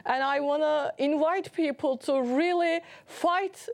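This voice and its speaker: A woman with a heavy Turkish accent tells her personal story as a talk show guest. Her voice sits fairly high, and her telling is earnest and often broken by laughter. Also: measured pace.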